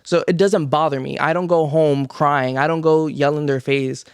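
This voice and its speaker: A man's voice: fairly high pitch, fairly even intonation, normal speed, clean tone, no room sound, studio quality. A man who is a guest on a podcast, speaking in a confident, matter-of-fact way. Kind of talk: casual chat.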